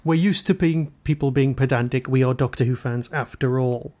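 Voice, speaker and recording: A man's voice: mid-range voice, steady tone, normal speed, dark tone. A man hosting a podcast interview in a casual, chatty, rambling and self-deprecating way. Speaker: podcast host.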